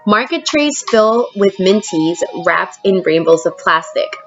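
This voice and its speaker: A woman teacher reading a picture book aloud expressively, pausing to prompt young listeners with questions. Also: mid-range voice, animated, highs cut off, soft background music. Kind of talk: storybook read-aloud with questions to children.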